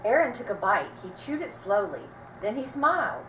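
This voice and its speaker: A woman reading a children's story aloud with expressive, dramatic storytelling delivery. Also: fairly high pitch, moderate pace. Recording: roomy, distant mic, faint noise.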